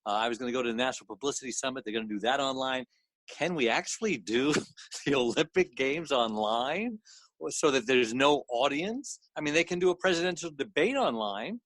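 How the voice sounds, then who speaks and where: A man's voice: medium pitch, expressive, brisk, fairly bright tone, no room sound. A man co-hosting a radio interview, asking questions in a casual, informal and chatty way.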